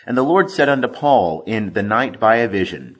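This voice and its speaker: A man reading scripture as scripted audiobook narration, with expressive, deliberate emphasis. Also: fairly low pitch. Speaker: scripture reader.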